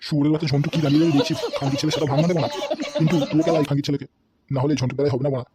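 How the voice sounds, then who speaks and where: A bullying cartoon political boss, played by a man, with a short temper. He blusters and curses in a very fast torrent. There is clear music behind the voice. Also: on the high side, fairly even intonation, lightly acted. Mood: furious. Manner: blustering, threatening, constantly cursing. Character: bullying, hot-tempered male local politician boss, a father. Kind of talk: comedy cartoon dialogue.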